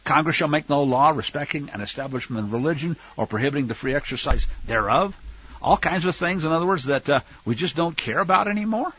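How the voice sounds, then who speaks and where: An American man with a husky voice preaching a Bible lesson in an emphatic, sarcastic way, full of rhetorical questions. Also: a little high, expressive, fast talker, fairly bright tone, muffled recording. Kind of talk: sermon, Bible teaching with political commentary.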